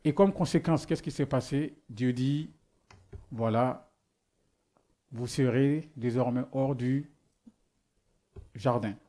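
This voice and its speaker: A man's voice: medium pitch, slow pace, pauses often, warm tone. A man who is not a native speaker preaches a sermon, asking rhetorical questions and often restarting as he searches for words. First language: not French.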